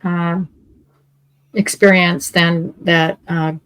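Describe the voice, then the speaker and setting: A woman's voice: on the low side, little pitch movement, drawn-out words, a bit bright, clear, resonant tone, poor audio. A woman talking on a podcast panel in a casual, earnest and thoughtful way. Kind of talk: casual review chat.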